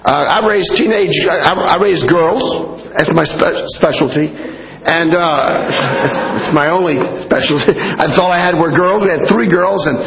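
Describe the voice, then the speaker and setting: A middle-aged American man preaching a sermon in an animated, conversational way. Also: fairly high pitch, measured pace, vocal fry. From United States. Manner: animated, conversational, acting out asides to listeners. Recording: echoey hall with long reverb, muffled.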